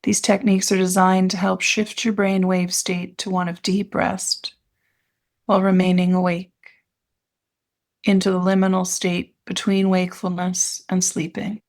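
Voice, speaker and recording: A woman with a lowish voice and a noticeable fry guides a meditation. She speaks as an instructor, in soothing phrases broken by pauses, giving gentle instructions. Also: completely flat delivery.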